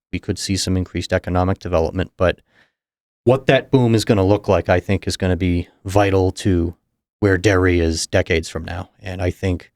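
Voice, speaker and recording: A young man from New Hampshire, a political candidate, explaining things in a measured, casual interview manner. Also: low voice, darkish tone, no room sound, studio quality. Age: young adult. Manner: measured, explanatory, chuckles between points.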